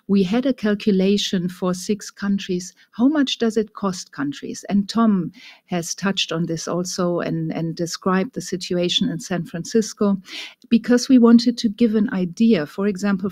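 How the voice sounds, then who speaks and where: A woman with a German accent, giving a measured, explanatory presentation over slides with frequent fillers. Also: lowish voice, dark tone, no echo, clean studio sound. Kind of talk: remote presentation.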